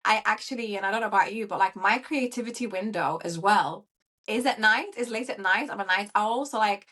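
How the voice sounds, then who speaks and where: A woman from Syria, a coach who is a guest on a podcast, talking in a chatty, animated way with plenty of fillers. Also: mid-range voice, fairly quick. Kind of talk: casual interview chat.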